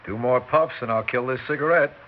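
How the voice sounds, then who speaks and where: A man's voice: mid-range voice, brisk, lightly acted. A man playing a brusque, self-satisfied Broadway producer in 1960s radio drama style, wisecracking and dismissive. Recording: dull vintage radio recording with hiss.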